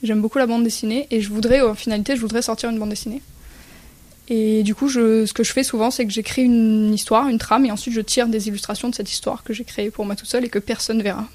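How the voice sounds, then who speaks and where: Fairly high-voiced woman artist, Spanish-born. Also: steady tone, a little mellow, no echo. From Spain. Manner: thoughtful, pauses with fillers. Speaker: guest artist. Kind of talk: radio interview answers.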